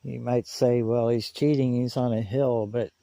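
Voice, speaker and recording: A man's voice: medium pitch, steady tone, normal speed, gravelly, dark tone, dry recording. A man hosting a gear review, talking casually and in folksy, colloquial phrasing to camera and over a two-way radio. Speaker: video host reviewing walkie-talkies. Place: outdoors on a hill.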